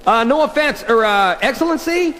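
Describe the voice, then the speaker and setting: An actor playing a cheeky, quippy young student superhero in a seventies audio drama, speaking in a breezy, curious way. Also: male voice, extremely high pitch, expressive, moderate pace, a bit bright. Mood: wry, cheeky. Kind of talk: 1970s superhero record audio drama acting. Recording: reverberant with faint background hiss.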